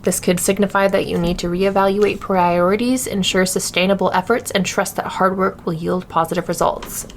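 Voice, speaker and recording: A woman's voice: medium pitch, fairly even intonation, moderate pace, hardly any pauses, a little hiss or hum. A woman co-hosting a podcast in casual chat, with an irreverent, self-mocking, sweary manner. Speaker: podcast co-host.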